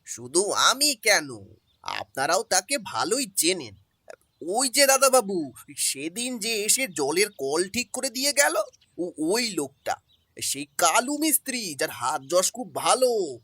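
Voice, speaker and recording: A man acts out an anxious male servant in a detective radio play, with a bright, forceful, husky voice. He is deferential yet urgent, stammering in homely phrases, and his pitch swings widely. Music plays behind him. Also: sing-song, slow, no echo, heavily acted. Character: agitated, deferential male household servant bringing alarming news. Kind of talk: audio detective drama acting.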